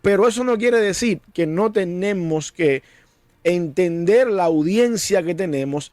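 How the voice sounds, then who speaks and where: A Cuban-born pastor speaking in an earnest, preacherly way, often doubling words as he starts a thought. His voice sits a little high for a man. The sound is dry and close, with no room echo. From Cuba. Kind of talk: conversational discussion.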